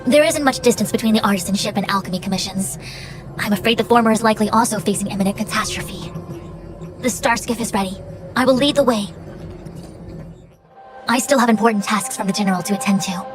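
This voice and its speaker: An actress voicing a haughty, brisk young female master diviner in a video game, formal, articulate and authoritative. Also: medium pitch, natural voice. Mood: grave, brisk. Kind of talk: scripted video game voice acting. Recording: game music underneath.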